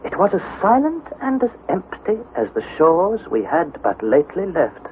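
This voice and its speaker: Earnest young nephew on tinny old radio. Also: male voice, high voice, huge pitch swings, normal speed. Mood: dramatic narration. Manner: questioning, tentative, dramatic storytelling. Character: earnest, curious young nephew, narrating his expedition journal. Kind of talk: vintage radio drama narration and acting. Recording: tinny, band-limited vintage radio, faint noise.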